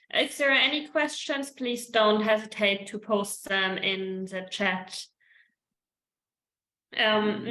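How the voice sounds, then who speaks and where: A woman's voice: on the high side, sharp tone, reverberant, poor audio. A woman moderating an online workshop, formally introducing speakers and occasionally stumbling over names. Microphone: video call.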